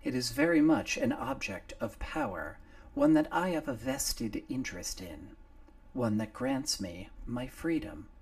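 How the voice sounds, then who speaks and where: A man's voice: mid-range voice, wildly swooping pitch, a little slow, vocal fry, far from the mic, pitched above the performer's own voice, exaggerated voice. A man voicing a cool, terse female killer who speaks in a plain, clipped way.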